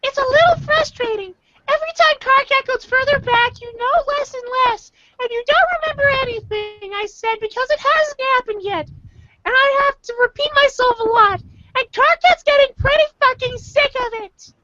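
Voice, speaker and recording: A woman's voice: very high-pitched, moderate pace. A woman voicing an irate, condescending, foul-mouthed young male alien troll in an amateur reader's theater, ranting in exasperation. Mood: exasperated ranting. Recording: dull lo-fi home recording, faint noise.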